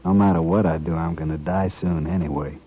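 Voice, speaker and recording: An actor playing a quiet, courteous, resigned man bent on killing in a 1950s radio western. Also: low voice, steady tone, brisk, slight background noise, natural voice. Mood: quiet resignation. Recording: dull vintage 1950s radio recording.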